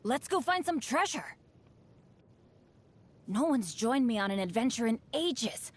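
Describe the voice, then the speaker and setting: An eager boy adventurer in video game voice lines. The voice is high and very animated, swinging up and down with hopeful, friendly energy. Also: a little slow, slightly rough, heavily acted. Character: eager, upbeat, unlucky teenage boy adventurer.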